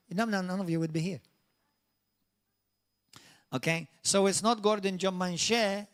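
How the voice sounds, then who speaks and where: A man with a Maltese accent, a native Maltese speaker, preaching a sermon and repeating himself in English and Maltese. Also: sits high. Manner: earnest, restarts mid-phrase, rises to an emphatic welcome. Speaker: pastor.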